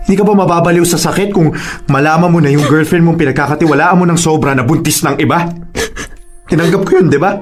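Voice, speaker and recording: High-voiced, emotional young father played by an actor. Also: close to an everyday voice. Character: wounded, resentful young father and boyfriend. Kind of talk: Filipino radio drama acting.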